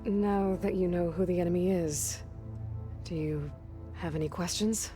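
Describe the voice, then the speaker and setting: A woman's voice: on the low side, steady tone, measured pace, mellow, faint music behind, natural voice. An actress playing an earnest young woman warrior in a video game, direct and plain-spoken. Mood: matter-of-fact. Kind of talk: naturalistic video game acting.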